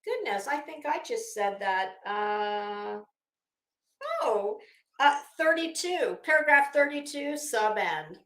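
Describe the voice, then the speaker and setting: An American woman hosting a commentary show, reading documents aloud dramatically and adding casual, emphatic asides with drawn-out phrasing. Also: a little high, lively intonation, a bit bright, reverberant.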